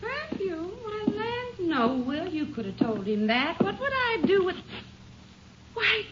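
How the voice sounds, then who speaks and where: An actress playing an older country wife, plainspoken and scolding, with slow folksy speech in a 1950s radio drama. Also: female voice, extremely high pitch, sing-song, full character voice. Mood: fussing. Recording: muffled vintage radio recording with faint hiss.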